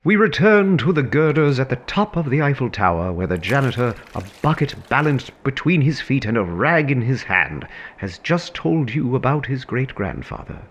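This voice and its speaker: An actor as a whimsical storyteller narrating an audio drama in a dramatic, theatrical manner. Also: male voice, medium pitch, very animated, moderate pace, highs cut off. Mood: confiding. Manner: dramatic, swooping from hushed to urgent.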